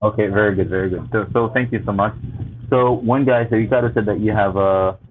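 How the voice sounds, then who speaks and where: A man's voice: fairly low pitch, fairly quick. A man from Haiti hosting an interview, encouraging and casual, with many short replies. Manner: warm, encouraging, frequent backchannels. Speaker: host. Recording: tinny phone-like line.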